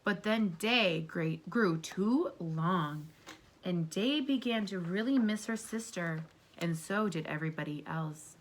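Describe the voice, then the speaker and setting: A woman reading a picture book aloud to children as a teacher, pausing to put questions to them in an encouraging way. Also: fairly low pitch, animated.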